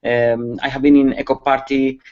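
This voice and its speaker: A man's voice: mid-range voice, little pitch movement, normal speed. Man, Spanish accent, webcast presenter, lots of fillers, muffled lo-fi call audio. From Spain. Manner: hesitant, many fillers and restarts, occasional laughs. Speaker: security conference speaker. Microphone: computer mic on a video call.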